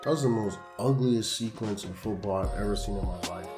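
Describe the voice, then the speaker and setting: Deep-voiced young man losing his voice. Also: fairly quick. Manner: casual, slangy, frustrated and animated. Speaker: gaming YouTuber. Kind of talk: gameplay commentary. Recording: roomy echo with background music.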